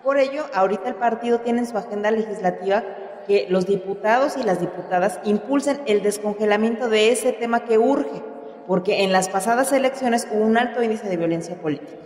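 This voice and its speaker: A woman's voice: on the high side, fairly quick, a little mellow, natural voice. A woman playing an impassioned female party activist in a radio drama, with an emphatic, oratorical delivery. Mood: urgent, concerned. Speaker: actor in a radio serial. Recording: echoey hall.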